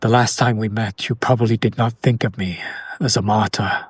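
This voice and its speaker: A man playing a centuries-old noble vampire in an intimate audio roleplay, formal and theatrical, tormented and romantic, with hushed, dramatic pauses. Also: fairly low pitch, fairly even intonation, breathy edge, no echo. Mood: remorseful. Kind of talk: intimate audio roleplay monologue addressed to the listener.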